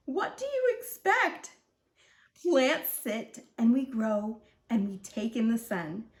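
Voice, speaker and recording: A woman's voice: fairly high pitch, huge pitch swings, slow, reverberant, exaggerated voice. A woman voicing a stern, stubborn female potted fern in a storybook, firm and dismissive. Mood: firm, exasperated.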